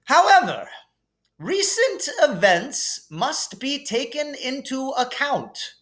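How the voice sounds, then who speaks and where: Guy doing an old wise wizard headmaster, kindly, real slow n drawn out, high lilting swoops, storybook style. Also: sing-song, fairly bright tone, full character voice. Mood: measured, gentle. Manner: stately, deliberate, drawn-out delivery. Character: elderly wise kindly male wizard headmaster addressing a hall.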